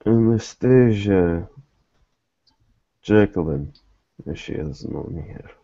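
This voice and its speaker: A man playing a wary, probing private investigator in a tabletop roleplay, terse and deliberate in manner. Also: lowish voice, animated, dark tone, no echo, lo-fi sound. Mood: measured, guarded.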